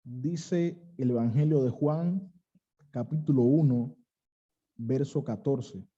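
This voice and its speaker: Dark-voiced Cuban preacher. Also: male voice, mid-range voice, husky, lo-fi sound. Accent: Cuban, western coastal. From Cuba. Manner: earnest, emphatic, pausing on key phrases. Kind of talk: sermon.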